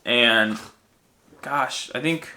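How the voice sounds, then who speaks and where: Bright-voiced young American man, casual storyteller. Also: mid-range voice, drawn-out words. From United States, raised in Minnesota. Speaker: podcast guest and co-host sharing his story.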